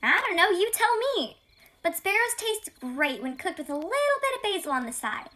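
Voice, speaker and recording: A woman's voice: very high-pitched, very animated, moderate pace, bright, forceful tone, a little hiss or hum, heavily acted. A woman playing a fearless, sassy young female kitten in a radio play, blunt and flippant. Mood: eager.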